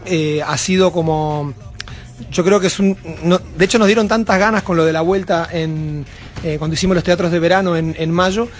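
High-voiced Uruguayan man, rambling. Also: steady tone, moderate pace, faint music behind. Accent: Uruguayan Spanish. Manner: rambling, many fillers and restarts. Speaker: band member, interview guest. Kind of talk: casual interview chat. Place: backstage at a music venue.

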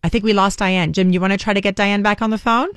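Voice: mid-range voice, fairly even intonation, quick pace, fairly bright tone, clean tone, dry recording. A radio host whose first language is Greek, chatting energetically on live radio from a studio and steering an interview.

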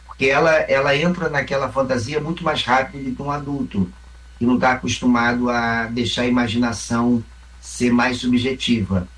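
Man, Carioca accent, theatre actor, reflective, explains with examples, mid pitch. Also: steady tone, moderate pace, fairly bright tone, recorded from a distance, poor audio. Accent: Carioca Brazilian Portuguese. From Rio Grande do Sul, Brazil. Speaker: theatre company member, mime actor. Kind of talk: interview answers.

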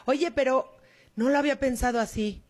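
Mexican woman, radio co-host, slightly high, chatty and agreeable. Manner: chatty, quick affirmations. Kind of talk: casual radio chat.

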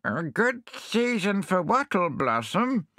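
Doddery deaf old hedgehog, high and slow, storybook, as a man puts him on. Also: very animated, sharp tone, no room sound, clean studio sound, full character voice. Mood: befuddled. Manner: vague, drawn-out. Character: deaf, muddled old male hedgehog gardener.

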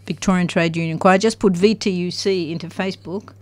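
Guest: retired woman, slightly low voice, warm enthusiastic chat. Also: steady tone, fairly quick, dry recording. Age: older. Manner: chatty and enthusiastic, many ums. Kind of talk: casual conversation.

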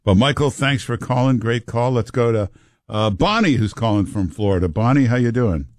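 A man's voice: medium pitch, animated, normal speed, no room sound, clean studio sound. A man hosting a call-in radio talk show, bantering and joking with callers in a brash way.

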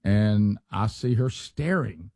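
A man, hosting a radio show and telling stories casually, animated, often repeating himself. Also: fairly low pitch, slow, no room sound, clean studio sound. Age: 60s. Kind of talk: casual storytelling and banter.

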